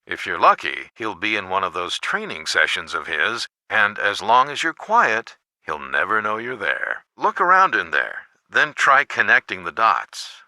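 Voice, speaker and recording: A helpful video game mentor with a low-leaning, very animated voice. He is folksy and coaxing, handing out hints in a knowing, encouraging way. The sound is crisp-edged, bright and close. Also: a little slow, hardly any pauses, dry recording, full character voice. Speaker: hint giver in an adventure game. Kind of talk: scripted video game voice acting.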